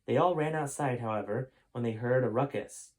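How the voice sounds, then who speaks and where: A man's voice: medium pitch, a little fast, darkish tone. Man reading an adventure story aloud. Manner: informal, stops to correct own character voices. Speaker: author reading own book aloud, host. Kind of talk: storytime audiobook narration with character voices.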